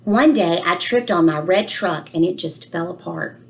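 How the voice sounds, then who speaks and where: A woman's voice: lowish voice, moderate pace, creaky. Lady reading as a worried little kid in a picture book, singsong rhymes, takes everything literally. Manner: worried, singsong rhyming delivery. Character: anxious, literal-minded little boy telling his storybook tale. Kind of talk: expressive storybook reading.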